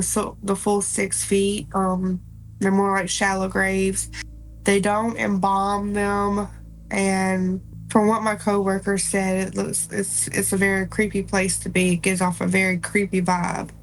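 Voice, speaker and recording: A woman, sounding congested and a little nasal, telling ghost stories slowly and plainly in a casual podcast chat. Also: mid-range voice, low-quality recording. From South Carolina. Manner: slow, folksy storytelling. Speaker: paranormal investigator guest. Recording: faint background noise.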